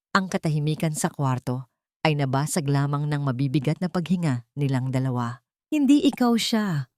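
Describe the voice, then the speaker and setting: Female storyteller, lower register, solemn measured delivery for romantic drama, close dry sound. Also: a little mellow, no room sound. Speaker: story narrator. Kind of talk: dramatic scripted narration of a love story.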